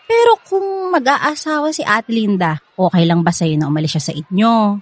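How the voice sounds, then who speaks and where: A woman's voice: mid-range voice, animated, normal speed, no echo, close to an everyday voice. An actress playing a sweet, teasing young girlfriend with a Filipino accent in a radio drama, in a playful manner. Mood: curious.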